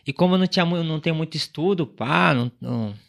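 A twenty-year-old man with a São Paulo accent, telling his story as a podcast guest in slangy, animated casual chat. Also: on the high side, racing, vocal fry. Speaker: podcast guest, delivery cyclist.

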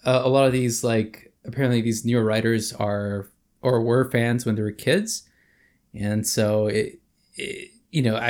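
Podcast co-host guy, gravelly, thinks out loud, mid pitch. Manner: thoughtful, hesitant, many fillers. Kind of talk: casual chat.

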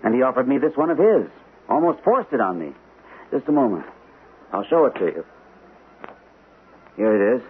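An actor playing an earnest captain confiding a troubling story, speaking deliberately in vintage radio drama style. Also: male voice, medium pitch, sing-song, lightly acted. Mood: intent, revealing. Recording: lo-fi old radio recording, faint hiss.

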